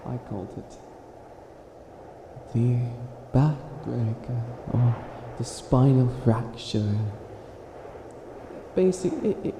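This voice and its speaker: A man's voice: mid-range voice, close to an everyday voice. A man playing a theatrical, flustered would-be evil witch in a comedic ASMR roleplay, stammering and stretching words for dramatic emphasis. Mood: proud, theatrical, then bewildered. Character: flustered self-styled evil witch, hopelessly helpful, theatrical. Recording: echoey room with audible background noise.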